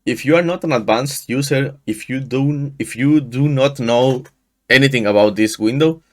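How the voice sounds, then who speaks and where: A man in his thirties from Mexico, a native Spanish speaker with a Mexican accent, walking through a software install in a screen-share tutorial, often restarting his sentences. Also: medium pitch. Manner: halting, frequent restarts and fillers. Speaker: tutorial host. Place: small room indoors. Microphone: headset.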